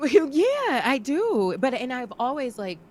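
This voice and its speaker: A woman's voice: high-pitched, takes it slowly. A young adult woman co-hosting a casual podcast chat, talking freely and laughing often. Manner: chatty, lively, laughs often. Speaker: podcast co-host.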